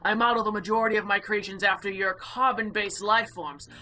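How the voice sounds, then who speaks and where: An imperious female eldritch being of the void, voiced by a woman for a visual novel. She speaks with formal, haughty deliberation and a sharp, bright edge. Faint music plays behind her. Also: mid-range voice, fairly even intonation, brisk, lightly acted, poor audio. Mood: proudly delighted. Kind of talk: visual novel character voice-over.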